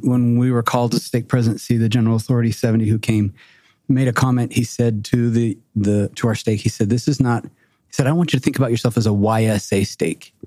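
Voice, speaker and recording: A middle-aged man serving as a stake president, speaking in a thoughtful, measured way. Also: on the low side, a little mellow, no room sound. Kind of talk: casual discussion.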